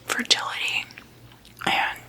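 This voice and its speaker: Slow whispering ASMR woman. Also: poor audio. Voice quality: breathy unvoiced whisper. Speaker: ASMR video host. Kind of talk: ASMR, whispered informal talk.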